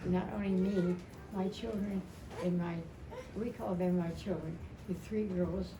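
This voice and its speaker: A woman in her seventies giving an informal, rambling and emotional personal tribute at a memorial, telling stories in a halting way. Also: fairly low pitch, steady tone. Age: 70s. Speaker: widow speaking at a memorial. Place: indoor family memorial gathering. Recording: echoey, distant mic, noisy gathering room.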